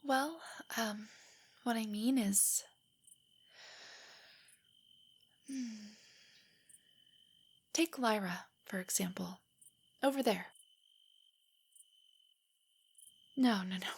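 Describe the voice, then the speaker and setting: Breathy, nervous nerdy girlfriend, acted by a woman. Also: medium pitch, takes it slowly, pauses often. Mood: earnest, nerdy. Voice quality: soft, breathy, whispery. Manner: hesitant, rambling, earnestly explanatory. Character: nervous, nerdy, affectionate young girlfriend on a stargazing date. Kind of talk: intimate audio roleplay, spoken to the listener.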